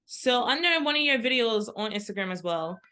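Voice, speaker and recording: A woman's voice: a little high, expressive, fairly quick, sharp tone, smooth. A woman hosting an interview, asking questions and affirming often with short agreements. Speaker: interview host.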